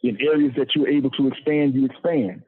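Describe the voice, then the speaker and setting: Guy explaining politics off the cuff, kinda raspy, teacherly, lists stuff for emphasis, tinny muffled audio. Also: fairly quick. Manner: didactic, repeats and lists phrases for emphasis. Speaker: political speaker. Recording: muffled low-fidelity radio-like audio.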